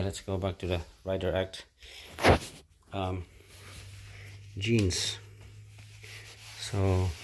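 Slow-talking Filipino-accented man. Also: sits low, a little mellow, slight background noise. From Philippines. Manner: halting, many fillers and restarts. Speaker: product reviewer. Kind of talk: casual unboxing commentary. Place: carpeted room indoors, off camera.

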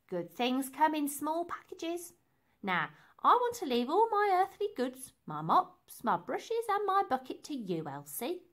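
A woman voicing a scatty, dramatic but kind-hearted cleaning lady in a storybook, with exclamatory, drawn-out delivery. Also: high voice, wildly swooping pitch, voice pushed up, exaggerated voice. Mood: anxious, wistful.

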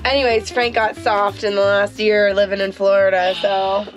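A young woman chatting casually to a handheld camera inside a small camper van, telling the story in an animated way, with music underneath. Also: medium pitch, normal speed. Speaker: van life vlogger. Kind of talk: casual vlog chat. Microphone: handheld action camera at arm's length.

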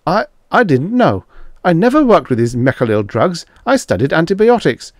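A nervous young doctor, acted by a male narrator in a dramatic audio story. His voice jumps high as he pleads and protests, flustered and defensive, in a close, dry recording. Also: sing-song, moderate pace, a little mellow, no echo, close to an everyday voice. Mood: panicked pleading.

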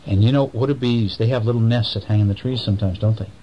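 A man's voice: fairly low pitch, quick pace, highs cut off. A middle-aged man playfully telling a bedtime story to children, improvising and checking in with his listeners as he goes. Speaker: father reading bedtime stories to children. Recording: faint noise, homemade recording.

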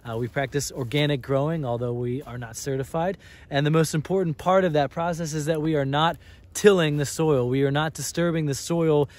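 A man's voice: a little high, darkish tone. A young man, a farmer, giving an earnest on-site tour outdoors on a snowy field, explaining with frequent fillers. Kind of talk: on-site farm tour interview.

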